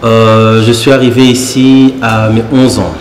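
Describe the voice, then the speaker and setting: A man in his twenties from Congo, an entrepreneur, answering calmly and plainly. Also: medium pitch, a little slow, a little hiss or hum. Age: 20s. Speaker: young entrepreneur guest. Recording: echoey room.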